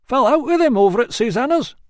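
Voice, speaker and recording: A man voicing a chatty, nosy male barber who talks in rough, colloquial grammar. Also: very high-pitched, lively intonation, fast talker, dry recording, studio quality, voice pushed up. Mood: gossipy. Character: chatty gossiping male barber, middle-aged.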